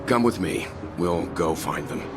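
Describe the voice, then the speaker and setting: Stern, composed game traveller, very deep voice, terse delivery, loud music underneath. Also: male voice, expressive, a little slow. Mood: guarded, firm. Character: guarded, stern but considerate man, a seasoned traveller. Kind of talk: scripted video game dialogue. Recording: loud background music over dialogue.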